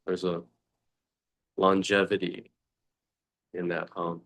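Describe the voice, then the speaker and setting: Male meditation teacher, low-pitched and monotone, contemplative delivery with long pauses. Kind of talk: guided meditation talk.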